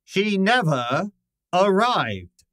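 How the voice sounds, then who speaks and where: A man playing a pompous, imperious middle-aged genius detective, precise and deliberate, in an old-time radio drama style. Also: high voice, very animated, fairly bright tone, dry recording, clean studio sound, full character voice. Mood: imperious, exasperated.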